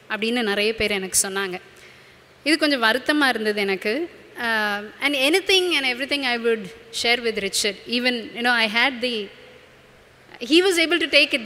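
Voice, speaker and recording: Woman, Indian Tamil accent, brisk pace, earnest personal testimony, long church reverb. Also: a little high, bright, forceful tone. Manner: earnest storytelling, switching between English and Tamil. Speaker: bereaved wife giving a eulogy. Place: large church, speaking at funeral service.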